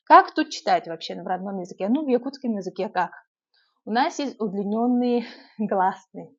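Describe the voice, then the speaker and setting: A young woman from Yakutia with an urban Yakut accent, giving language-learning tips in a casual, lively vlog with frequent filler sounds. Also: medium pitch, normal speed, highs cut off. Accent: urban Yakut Russian. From Yakutia, Russia.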